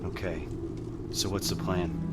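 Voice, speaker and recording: An actor playing a weary, blunt military squad leader in a video game cutscene. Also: male voice, sits low, level tone, measured pace, loud music behind the voice. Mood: weary, irritated. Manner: blunt, commanding. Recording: heavy vehicle and outdoor noise behind.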